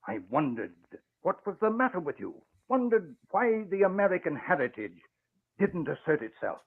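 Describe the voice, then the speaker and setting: An elderly, proud father speaks with theatrical fervor in a 1940s radio drama. His voice swings widely in pitch and has a slightly rough, unclean edge. The recording is thin and muffled, like an old broadcast. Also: sing-song, normal speed, heavily acted. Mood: stern, impassioned. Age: older. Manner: impassioned, dramatic delivery. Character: emotional proud old father, retired crime-fighting newspaper owner.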